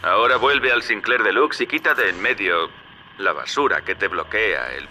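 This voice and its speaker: An actor with a Castilian Spanish accent playing a wry, chummy opportunist who guides the player in a video game. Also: male voice, lowish voice, lively intonation, moderate pace, pushed and bright, faint music behind. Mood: wry, instructing. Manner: familiar, sardonic, instructing. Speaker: radio guide character.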